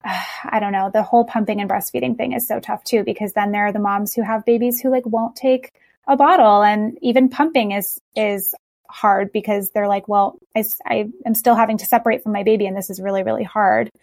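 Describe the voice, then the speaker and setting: A woman's voice: medium pitch, steady tone, a little fast, no room sound, studio quality. A woman hosting a podcast, chatting casually and sharing personal stories with her guest. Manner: chatty, warm agreement, personal anecdotes. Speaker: podcast host.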